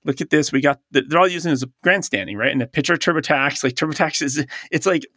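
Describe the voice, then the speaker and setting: A man's voice: fairly high pitch, fast, pushed and bright, no room sound, studio quality. A man co-hosting an accounting podcast in casual chat, laughing often and a little stuffed up from allergies. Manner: chatty, laughs often, reads ads and quotes aloud. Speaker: podcast co-host. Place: recording studio.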